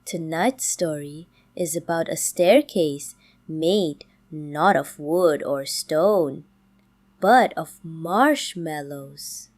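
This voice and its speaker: A woman narrating a children's bedtime story in a calm, gentle manner, with frequent pauses between short phrases. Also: on the low side. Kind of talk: slow, soothing scripted bedtime storytelling for toddlers.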